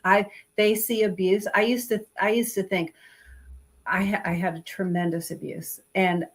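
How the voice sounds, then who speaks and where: A woman's voice: mid-range voice, moderate pace, breathy edge. A woman guest on an online talk, speaking emphatically and telling personal stories with energy. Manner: emphatic, animated storyteller. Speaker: guest expert. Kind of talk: casual chat.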